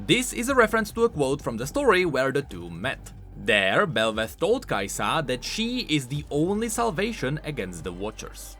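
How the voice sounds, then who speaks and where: A man's voice: on the high side, wildly swooping pitch, moderate pace, few pauses, fairly bright tone, faint music behind. A man hosting a scripted explainer on video game lore, talking informally with emphatic, swooping stresses.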